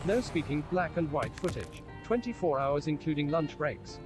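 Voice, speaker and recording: A man's voice: a little high, animated, moderate pace, faint music behind. A man joking along in a game stream with dry, wry banter. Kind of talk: joking banter, mock episode synopses.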